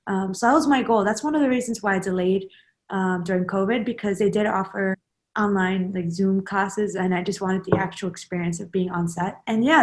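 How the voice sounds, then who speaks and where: Woman in her 20s, interview guest, loose rambling delivery with lots of ums and restarts. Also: mid-range voice. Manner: rambling, many fillers and restarts. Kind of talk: casual interview chat.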